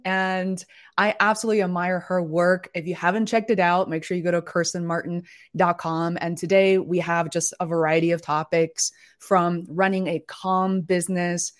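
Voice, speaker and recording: Eager woman host of a live chat. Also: mid-range voice. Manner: enthusiastic, halting with fillers. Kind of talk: live interview hosting.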